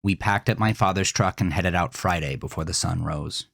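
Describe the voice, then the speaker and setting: A man reading a scripted first-person horror story in a measured, deliberate, dramatic way. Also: low voice, creaky, a bit bright, no room sound, clean studio sound. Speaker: narrator of a horror fiction podcast.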